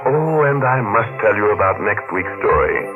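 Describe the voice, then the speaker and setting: A man playing a ghoulish, sardonic radio horror host with morbid humour and a mock-genial, drawn-out delivery in 1940s style. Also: fairly low pitch, big pitch swings. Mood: gleefully macabre. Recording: muffled 1940s radio broadcast with music.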